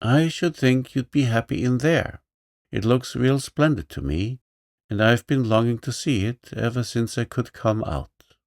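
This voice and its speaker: A man's voice: medium pitch, a little slow, a little mellow, no echo, clean studio sound, voice pushed up, lightly acted. A man doing a meek, lame little boy. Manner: humble, plain-spoken, says "ain't"-style slang. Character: poor lame young boy, meek and cheerful.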